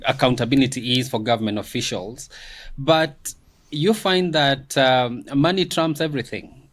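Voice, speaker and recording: A man speaking in a measured, thoughtful way in a conversation, often filling pauses with "you know" and "um". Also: mid-range voice. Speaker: guest commentator. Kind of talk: reflective conversation.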